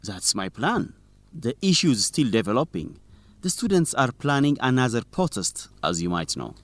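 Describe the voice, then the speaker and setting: An actor playing a principled, stubborn young student journalist in an educational radio drama, speaking expressively and clearly. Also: male voice, medium pitch, sing-song, normal speed. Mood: guarded.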